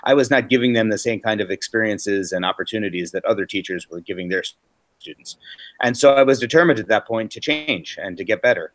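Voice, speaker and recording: A man's voice: fairly low pitch, brisk, a bit bright, slight background noise. An American man in his forties, a science teacher, answering interview questions fluently and with self-deprecating reflection. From United States. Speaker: guest teacher.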